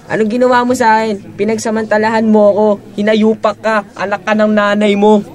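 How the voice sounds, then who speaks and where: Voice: mid-range voice, monotone, brisk, close to an everyday voice. A performer in an amateur radio drama playing a brash young friend with a campy, exclamatory manner. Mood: angry, scornful.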